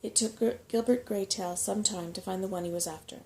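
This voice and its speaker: A woman storyteller reads a children's book in a soft-spoken ASMR style. Her voice is mellow, with noticeable vocal fry at a middle pitch. There is a faint noise behind her. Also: fairly quick.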